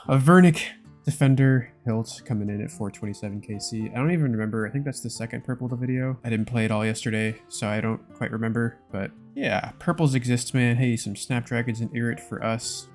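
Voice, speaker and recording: A man's voice: on the low side, darkish tone. A man giving casual, laid-back commentary over his own gameplay in slangy gamer talk. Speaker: gaming video creator narrating his own play.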